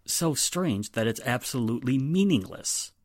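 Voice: medium pitch, animated, moderate pace, a little mellow, no room sound, close to an everyday voice. An actor playing a weary, sardonic male police inspector in a 1940s-style radio drama, speaking emphatically with dry exasperation. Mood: matter-of-fact.

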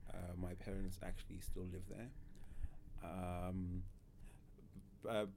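A man's voice: deep voice, level tone, slow, creaky, darkish tone, a little hiss or hum. A man in his thirties from Zimbabwe, a podcast guest who talks hesitantly with many fillers and restarts. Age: 30s. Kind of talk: casual interview.

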